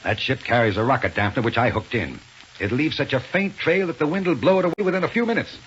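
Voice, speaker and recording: A man's voice: medium pitch, natural voice. An actor playing a commanding, calculating villain in a 1930s radio serial, authoritative and impatient with his underlings. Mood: confident, calculating. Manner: authoritative, deliberate. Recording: noisy, muffled 1930s radio recording.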